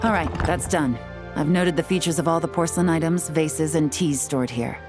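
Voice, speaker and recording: A woman playing a shrewd, self-assured intelligence operative in a video game, composed, deliberate and commanding. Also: low-pitched, over music, natural voice. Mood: composed, instructing.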